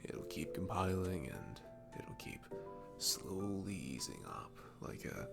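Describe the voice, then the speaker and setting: A man playing a sweet, goofy, comforting barista in an intimate ASMR roleplay, speaking softly and haltingly with a faint Southern US accent. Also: low voice, expressive, creaky, loud music behind the voice, full character voice. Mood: gentle, consoling. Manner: soft, halting, chuckling, drifting into near-whispers. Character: cute, goofy, comforting young male barista, sweet and self-deprecating.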